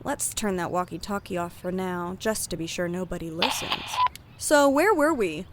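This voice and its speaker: Woman as a lively, inquisitive police chief. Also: on the low side, normal speed, noisy background, natural voice. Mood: probing. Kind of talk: children's audio drama acting.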